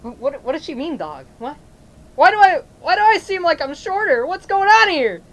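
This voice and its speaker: Woman playing a panicky stammering boyfriend, high-voiced. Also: very animated, brisk, warm tone, husky. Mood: confused, alarmed. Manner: nervous stammering, repeats words. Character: panicky, stammering, flustered boyfriend hiding behind a mask.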